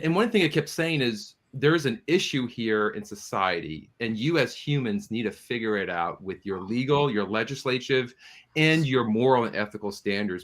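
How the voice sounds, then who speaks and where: A man's voice: medium pitch, bright, forceful tone. A man speaking as a studio producer and guest in a panel interview, explaining things earnestly and with emphasis.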